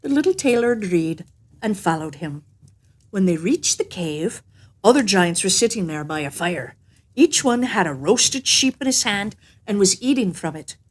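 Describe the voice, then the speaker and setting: A woman reading a fairy tale aloud as an expressive, scripted narration. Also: medium pitch, moderate pace, breathy edge. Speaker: storyteller.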